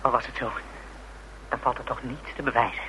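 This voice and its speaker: An actor playing an anguished, cornered younger brother in a radio play, agitated, defensive and halting. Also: male voice, medium pitch, fairly even intonation, lightly acted. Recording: noisy old radio drama recording.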